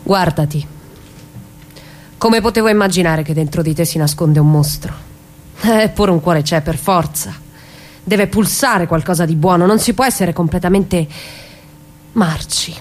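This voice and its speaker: A woman acting a low-voiced, wounded wife. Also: measured pace, pauses often, a little mellow, slight background noise, lightly acted. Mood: hurt, resolute. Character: wounded, resolute wife searching for her man's heart. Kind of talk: stage monologue.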